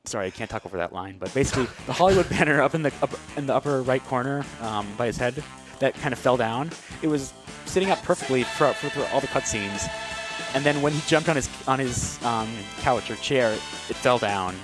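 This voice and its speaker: Loud game music plays behind a man with a middling-pitched voice doing live speedrun commentary. He talks in a hesitant, rambling way and slips in dry jokes as he explains the game. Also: expressive, brisk. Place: livestreamed charity gaming marathon.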